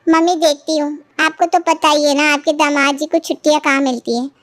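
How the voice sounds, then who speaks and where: A woman's voice: sits high, flat delivery, a little fast, fairly bright tone. A woman voicing a chatty, agreeable married daughter who sides with her mother in a cartoon family story.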